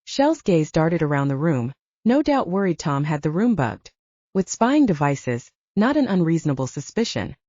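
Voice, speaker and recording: A woman's voice: low voice, sing-song, normal speed, warm tone. A woman reading scripted fiction as an audiobook narrator. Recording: roomy, dull lo-fi audio.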